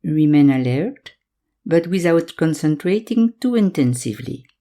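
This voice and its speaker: A woman guiding a yoga nidra meditation in calm, measured instructions, leaving pauses between phrases. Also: low-pitched. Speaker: meditation guide.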